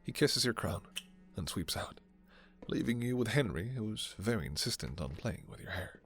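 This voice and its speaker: A man's voice: fairly low pitch, lively intonation, moderate pace, soft background music. A man reading short scripted narration in a romantic fantasy audio roleplay.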